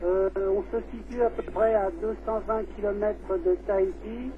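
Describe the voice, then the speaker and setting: A man speaking over amateur radio as a scout leader, in procedural call phrases broken by hesitant pauses. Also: high-pitched, steady tone. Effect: radio transmission. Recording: tinny, noisy amateur radio link.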